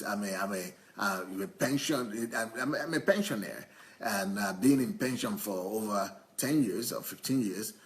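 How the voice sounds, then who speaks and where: Man interpreting haltingly, phrase by phrase. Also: medium pitch, a bit bright. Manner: halting, repeating and rephrasing phrases as he goes. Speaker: interpreter translating a testimony into English. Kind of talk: live consecutive interpreting of a church testimony.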